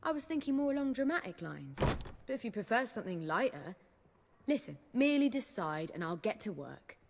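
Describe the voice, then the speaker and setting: An impatient young female playwright in a fantasy game: animated, articulate, theatrical, voice ringing with long reverb. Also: medium pitch, a little hiss or hum. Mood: persuasive. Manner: expressive, brisk, articulate. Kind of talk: video game dialogue acting.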